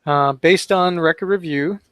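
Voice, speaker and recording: Male webinar co-host, casual delivery, higher register, dry close recording. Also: measured pace, fairly bright tone, no room sound. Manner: conversational, reads citations aloud with fillers. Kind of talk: casual training discussion.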